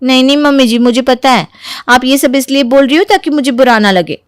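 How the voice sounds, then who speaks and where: Lady doing sneaky sweet young bahu for a cartoon, high voice, very expressive, dry close sound. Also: a little fast, smooth, no echo, lightly acted. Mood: mock innocent. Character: sly, playful young daughter-in-law, sweetly feigning innocence. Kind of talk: animated Hindi moral story voice acting.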